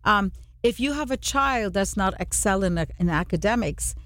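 A woman from Trinidad and Tobago hosting a radio show, chatting warmly and telling stories to draw out her guest. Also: medium pitch, lively intonation, normal speed, no room sound, studio quality. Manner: chatty, storytelling, encouraging. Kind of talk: casual radio interview.

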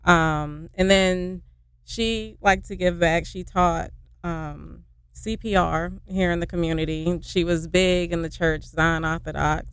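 A woman's voice: on the low side, fairly even intonation, slow pace, smooth, no echo. A woman who founded a nonprofit, speaking as a radio guest in a hesitant, earnest way with many fillers. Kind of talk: casual radio interview.

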